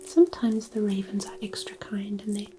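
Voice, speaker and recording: A woman playing a gentle, kindly forest fairy in a soothing fantasy ASMR roleplay, murmuring softly and reassuringly. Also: mid-range voice, level tone, moderate pace, soft background music, natural voice. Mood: soothing, fond.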